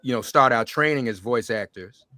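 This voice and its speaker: A man's voice: medium pitch, moderate pace, pushed and bright, dry recording. Male interview host, dry close sound. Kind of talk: conversational panel interview.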